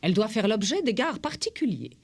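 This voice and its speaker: Casting: imperious cartoon school headmistress, formal, commanding, very animated delivery. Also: female voice, medium pitch, fairly quick, breathy edge. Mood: stern, commanding. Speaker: voice actor in a French animated series dub. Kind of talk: cartoon dub acting.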